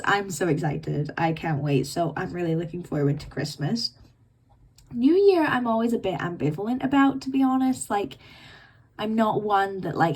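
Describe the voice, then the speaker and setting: Croaky woman rambling on a podcast. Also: medium pitch, a little mellow. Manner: rambling, self-mocking, giggly. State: croaky and bunged up from illness. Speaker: podcast host. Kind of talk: casual chatty monologue.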